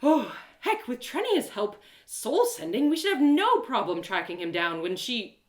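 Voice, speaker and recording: A woman voicing an excitable, plucky young girl adventurer who narrates her quest with dramatic, colloquial asides. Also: on the high side, measured pace, reverberant. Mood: giddy excitement. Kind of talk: first-person dramatic story narration.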